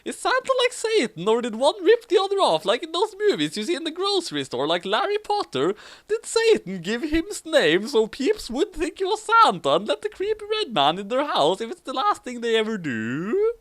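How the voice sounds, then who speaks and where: A shrill young villain, put on by a man reading a silly story, theatrical and dim. He rants in a high, bright voice that swoops around, recorded close and dry. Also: wildly swooping pitch, normal speed, hardly any pauses, no echo, heavily acted. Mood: baffled, ranting. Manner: theatrical, exclaiming. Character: melodramatic, ranting, dim-witted young male villain called Dark.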